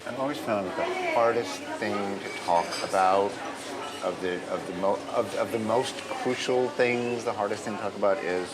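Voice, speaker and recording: A man's voice: fairly low pitch, moderate pace, very noisy. A man talking reflectively about writing, searching for words and repeating himself.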